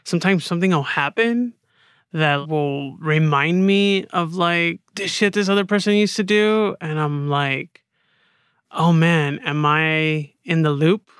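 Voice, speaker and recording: Male podcast co-host, smooth clear voice, candid conversational style, dry close recording. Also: on the high side, steady tone, unhurried, fairly bright tone, no room sound. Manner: candid, self-reflective. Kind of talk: casual chat.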